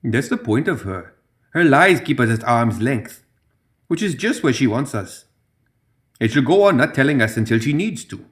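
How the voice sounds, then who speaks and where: A man's voice: mid-range voice, very animated, moderate pace, pitched above the performer's own voice, natural voice. Man voicing a sly, cruel young sorceress. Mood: knowing, earnest. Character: sly, playfully cruel supernatural young woman.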